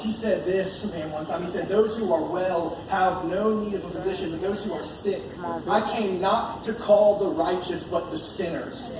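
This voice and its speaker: An American man preaching an informal, conversational sermon, earnest and repeating key phrases for emphasis as he reads and explains scripture. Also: high-pitched. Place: large echoey indoor church meeting space. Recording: echoey room, distant mic, background noise.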